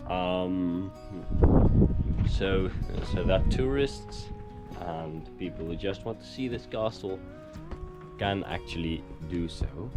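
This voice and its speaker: Hoarse, low-voiced man vlogging a hike. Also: music in the background. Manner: unhurried, hesitant, explaining as he walks. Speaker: travel vlogger. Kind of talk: casual travel narration on a hike. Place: outdoors on a hiking trail.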